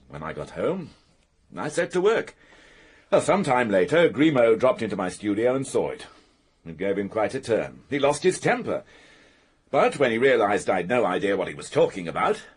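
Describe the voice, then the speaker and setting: An actor playing a defensive, self-pitying British bachelor painter in a radio mystery, theatrical and sardonic. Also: male voice, medium pitch, breathy edge, exaggerated voice.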